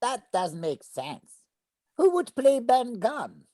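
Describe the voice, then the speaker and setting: A woman as an excitable, high-voiced cartoon bug kid. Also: slow, no echo, studio quality, full character voice. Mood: earnest. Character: excitable young bug child playing pirates, earnest and imaginative. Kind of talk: animation script table read.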